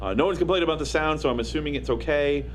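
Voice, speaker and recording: A man's voice: on the high side, very fast, slight background noise. A man hosting a casual tech podcast, rambling with many ums and jokey asides, speaking into a tabletop mic. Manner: rambling, full of ums and jokes. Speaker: podcast host. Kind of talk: casual chat.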